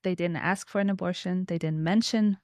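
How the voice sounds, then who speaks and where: A woman's voice: on the low side, steady tone, brisk, darkish tone, dry recording. A woman in her thirties speaking conversationally as an interview guest, telling personal stories and explaining her views. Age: 30s.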